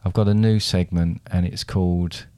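A man's voice: low voice, steady tone, normal speed, dark tone, no echo. A man co-hosting a podcast, chatting casually, rambling and restarting his sentences. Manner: rambling, hesitant, with many restarts. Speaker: podcast co-host.

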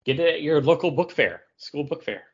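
A man's voice: fairly high pitch, animated, fairly quick, husky, muffled recording. A man chatting casually as a panelist on a podcast. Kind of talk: casual chat.

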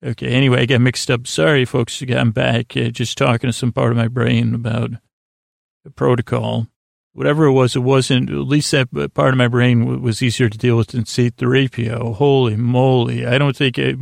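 A man with a creaky voice hosting a sleep podcast, talking in a halting, meandering, lulling way that keeps doubling back on itself. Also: mid-range voice, no echo, clean studio sound. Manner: halting, meandering, self-interrupting, gently absurd; soothing, lulling delivery. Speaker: podcast host. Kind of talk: rambling bedtime monologue to help listeners sleep.

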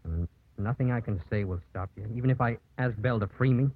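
Man playing weary-hearted unhappy husband, 1950s radio drama, mid-pitched, restrained, thin noisy old recording. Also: quick pace, husky, lightly acted. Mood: subdued. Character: subdued, unhappily married man in politics. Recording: tinny, noisy, crackly vintage radio recording.

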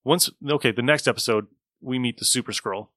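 A man's voice: medium pitch, a little fast, sharp tone, dry recording, clean studio sound. A man hosting a podcast, chatting casually as he reviews an episode. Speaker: podcast host.

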